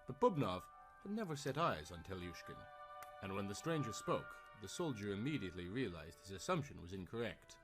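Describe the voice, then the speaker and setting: A man's voice: on the low side, big pitch swings, a little fast, over music. A man narrating a scripted story in a formal, theatrical, dramatic storytelling style.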